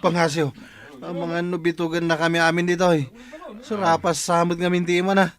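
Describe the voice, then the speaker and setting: A high-voiced drinking pal acted by a man, pushy and full of exclamations. It is broad radio-drama talk aimed at a stubborn friend. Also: level tone, a little fast, natural voice. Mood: insistent. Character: insistent tipsy drinking buddy urging friend home. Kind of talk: Ilocano radio drama acting.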